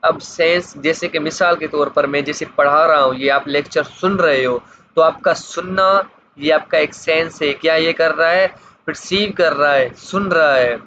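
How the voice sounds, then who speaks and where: A muffled, lo-fi recording with clear background noise carries a man's fairly high voice. He speaks with a Pakistani accent in the manner of an informal lecturer, reading out material and then explaining it, often repeating his phrases. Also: pushed and bright. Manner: explanatory, slows markedly when reading English clauses, repeats phrases. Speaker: law lecturer.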